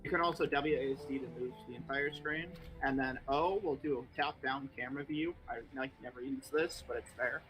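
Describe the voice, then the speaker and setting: A man's voice: medium pitch, brisk, few pauses, faint music behind, low-quality recording. A man hosting a stream, casually walking a friend through a game with lots of fillers. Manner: relaxed, rambling, lots of fillers. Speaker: streamer host. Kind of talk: casual gameplay explanation.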